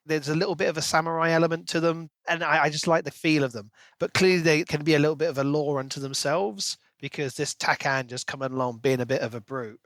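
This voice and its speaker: A man's voice: a little high, steady tone, fast, few pauses, fairly bright tone, no room sound. A man co-hosting a casual book discussion, talking in an enthusiastic, speculative and conversational way. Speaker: podcast co-host.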